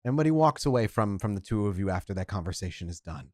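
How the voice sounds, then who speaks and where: A man's voice: fairly low pitch, very animated, fast, a little mellow, no echo, clean studio sound. A man narrating as storyteller of a tabletop game, setting scenes in detail.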